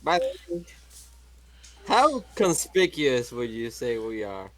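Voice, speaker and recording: Guy player in a tabletop game, normal pitch but super animated, dry jokey banter. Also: slow pace, a little hiss or hum. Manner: dry, joking. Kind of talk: casual in-character banter.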